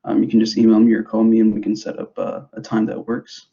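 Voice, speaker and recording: A young man who grew up in the United States, giving an informal presentation to parents in a hesitant, halting way, on a dull, muffled recording. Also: on the low side, monotone, creaky. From grew up in the United States, born in El Salvador. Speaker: school substance abuse prevention specialist.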